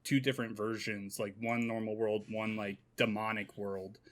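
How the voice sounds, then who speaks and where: A man's voice: on the low side, little pitch movement, moderate pace. A man chatting casually as a podcast panelist, talking like an enthusiastic fan. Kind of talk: casual chat about games and movies.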